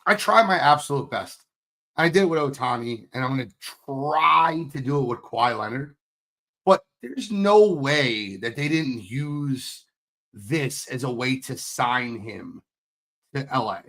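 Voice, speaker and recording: A man with a hoarse voice co-hosting a sports podcast, talking in a casual, teasing, fired-up way. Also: mid-range voice, expressive, a little slow, fairly bright tone. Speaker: podcast co-host.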